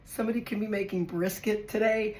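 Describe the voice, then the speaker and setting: A woman with a hoarse, raspy voice from a virus, chatting casually as she reads tarot for her viewers and thinks aloud while drawing cards. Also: medium pitch, fairly even intonation, brisk, darkish tone. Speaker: tarot reader. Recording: echoey room with faint noise.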